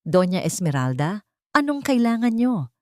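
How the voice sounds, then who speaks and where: A woman's voice: medium pitch, very animated, moderate pace, no room sound, clean studio sound, voice pushed up, natural voice. A woman voicing a poor young mother in a radio drama, questioning and emotional, suspicious and defiant. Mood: angry, anxious. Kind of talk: Filipino radio drama acting.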